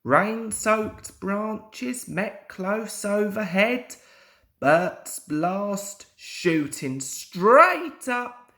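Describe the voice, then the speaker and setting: A young man reads a children's story aloud as an amateur storyteller. His pace is very slow and deliberate, and his expressive pitch moves about in a lively way. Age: young adult.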